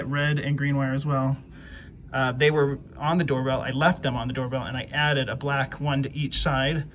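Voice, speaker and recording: Halting man explaining, noisy background. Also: medium pitch, a bit bright. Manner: halting, repeats words while explaining. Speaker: DIY homeowner. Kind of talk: casual hands-on tutorial. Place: outdoors by a house front door. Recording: clear background noise, outdoor ambience.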